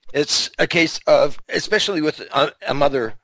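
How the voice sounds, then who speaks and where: A man's voice: a little high, slow, fairly bright tone, no echo, poor audio. A man co-hosting a podcast in casual, opinionated chat, blunt and provocative, swearing freely. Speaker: podcast co-host.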